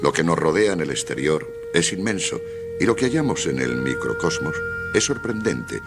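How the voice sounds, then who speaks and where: An actor playing a learned, authoritative doctor in a radio drama, speaking in a didactic, declamatory way. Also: male voice, extremely low pitch, sing-song, normal speed, creaky, full character voice. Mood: wondering, didactic. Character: learned, authoritative male doctor aboard a submarine, philosophical. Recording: loud music behind the voice.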